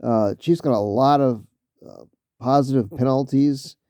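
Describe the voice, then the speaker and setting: A man giving casual spoken commentary on football, halting with many fillers and restarts, clearing his throat often as he loses his voice. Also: mid-range voice, warm tone, no echo, studio quality. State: losing his voice. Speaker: vlogger talking football.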